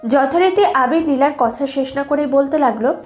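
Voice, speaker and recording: High-voiced woman narrating a romance. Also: normal speed, a little mellow, soft background music. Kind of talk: scripted romantic story narration.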